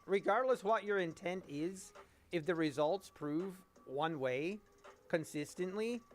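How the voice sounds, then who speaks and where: A man talks through his view in a casual podcast, measured and hedging. His voice is a little high, with faint music playing underneath. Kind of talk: casual chat.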